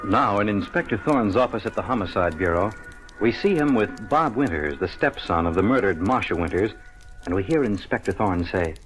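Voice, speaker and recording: A man's voice: lowish voice, expressive, moderate pace, close to an everyday voice. A man narrating a scripted 1940s radio drama. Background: music.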